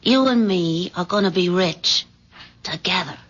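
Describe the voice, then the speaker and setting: An American actress playing a bold, scheming office mistress in a 1970s radio drama, coaxing and commanding. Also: female voice, on the low side, a little slow, fairly bright tone. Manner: coaxing, commanding, drawling for emphasis. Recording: dull vintage radio broadcast recording.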